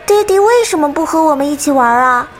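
A woman voicing an eager, innocent little child in a modern audio drama, with an excitable childlike manner. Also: high voice, a little fast, faint music behind. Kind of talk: modern Chinese radio drama acting.

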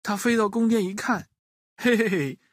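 A woman's voice: medium pitch, moderate pace, no echo, clean studio sound. A woman telling a children's story expressively, addressing young listeners directly with playful questions and little interjections.

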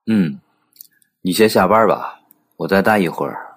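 A man's voice: low-pitched, expressive, unhurried. A man playing a cold, aloof, exacting head chef who speaks curtly in a modern audio drama. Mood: quiet, curt. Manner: curt, terse.

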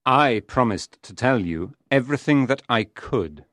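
A man's voice: medium pitch, expressive, unhurried, fairly bright tone, no echo, clean studio sound. A man playing a stern, menacing and evasive devil servant in a dramatised audiobook. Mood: stern, warning.

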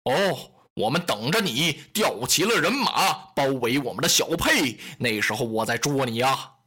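A storyteller voicing a fierce, hot-tempered warrior who talks in a gruff, mocking way. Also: mid-range voice, expressive, normal speed, sharp tone, voice pushed down.

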